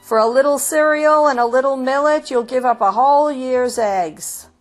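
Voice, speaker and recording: A woman's voice: high-pitched, moderate pace, faint music behind, pitched above the performer's own voice, natural voice. A woman voicing a defiant male rooster in a storybook, urgently rallying and commanding his flock. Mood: rousing, stern. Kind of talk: storybook character voice.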